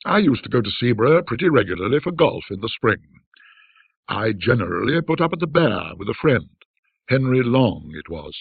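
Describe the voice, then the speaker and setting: Genteel gentleman golfer, read by a male narrator: husky, courteous, conversational, animated storybook delivery. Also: medium pitch, moderate pace, dry recording, phone-quality sound, exaggerated voice. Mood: reminiscent. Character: genteel English golfing gentleman recounting his tale.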